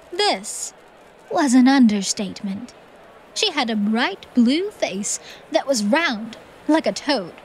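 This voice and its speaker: A woman reading scripted story narration for a theatrical audiobook in an expressive, measured manner. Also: fairly high pitch, slight background noise, rough recording.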